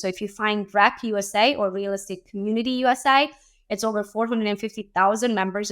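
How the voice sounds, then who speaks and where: A woman with a worn, hoarse voice that is fading on her, teaching a class about social media. She talks in a practical, casual way, often checking in with her listeners. Her voice sits at an average pitch. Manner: practical, chatty, asks "right?" often. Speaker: social media instructor and show host.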